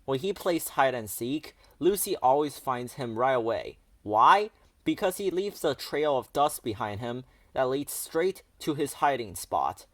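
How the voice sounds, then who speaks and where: A man's voice: mid-range voice, very animated, measured pace, fairly bright tone, no room sound. A man narrating a children's picture book aloud as a storyteller.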